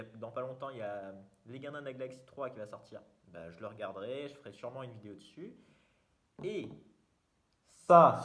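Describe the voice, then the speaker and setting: A man's voice: medium pitch, a bit bright. A young man, hosting a casual vlog in a rambling, jokey way, full of fillers and restarts. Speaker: YouTuber. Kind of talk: casual self-mocking vlog.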